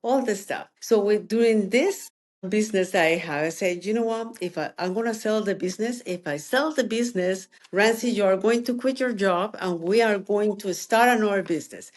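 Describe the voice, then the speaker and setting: Colombian-accented businesswoman, lively guest. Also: medium pitch, normal speed. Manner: energetic, talks in bursts with non-native phrasing. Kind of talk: interview answers.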